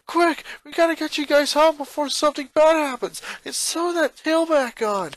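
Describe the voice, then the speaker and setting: A man's voice: very high-pitched, normal speed, no room sound, pitched above the performer's own voice. A man voicing an anxious, fussing father in a story reading, urgent and flustered. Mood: panicked.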